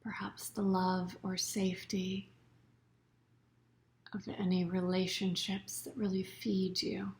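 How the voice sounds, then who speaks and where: Woman softly guiding a relaxation meditation. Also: mid-range voice, little pitch movement. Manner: soothing, unhurried, with soft breathy phrase endings. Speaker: yoga nidra meditation teacher.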